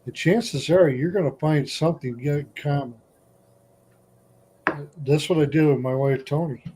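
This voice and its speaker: A man's voice: fairly high pitch, normal speed, warm tone, a little hiss or hum. Encouraging man giving friendly advice. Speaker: group leader asking questions. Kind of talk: casual group chat.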